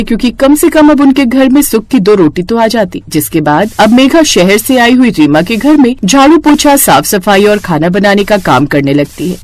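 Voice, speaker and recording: A woman narrating a scripted cartoon story in an expressive storytelling manner. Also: on the high side.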